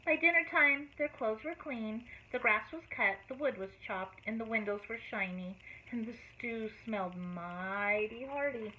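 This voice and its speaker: A high-voiced woman teacher reading a picture book aloud, expressive and pausing to ask the children questions. The sound is thin and phone-like, with faint hiss underneath. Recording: tinny phone-like audio, faint noise.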